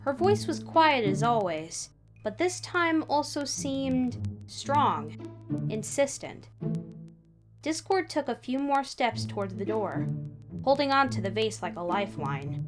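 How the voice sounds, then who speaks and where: A woman reading fan fiction aloud as an expressive third-person narrator with dramatic pauses. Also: a little high. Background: background music.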